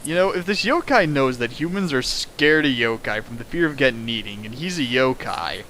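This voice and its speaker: A man's voice: mid-range voice, lively intonation, normal speed, pushed and bright, low-quality recording. A young man reading a bad fanfic aloud and riffing on it with sarcastic, exasperated rants. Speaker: fanfic riffer. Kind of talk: comedic dramatic reading and riffing.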